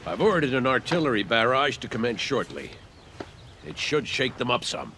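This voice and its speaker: An actor playing a stern, decisive American army colonel in a game cutscene, curt and commanding, with an American accent. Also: male voice, sing-song, normal speed, lightly acted. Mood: resolute. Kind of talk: dramatic game cutscene acting. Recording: music and vehicle rumble behind.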